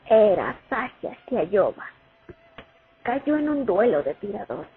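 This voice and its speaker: A haunted young female sniper played by a woman recalls the war in a radio drama. Her delivery is slow and dramatic, swinging widely in pitch. The recording is thin and phone-like, with music underneath. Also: sing-song, slightly rough, exaggerated voice. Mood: mournful.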